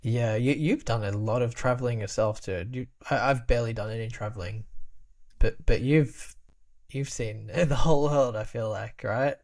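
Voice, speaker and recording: A man's voice: medium pitch, moderate pace, darkish tone. Chatty young man. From Australia. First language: Albanian. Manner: chatty, laughs often, many restarts and "like" fillers. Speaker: guest. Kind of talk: casual podcast conversation.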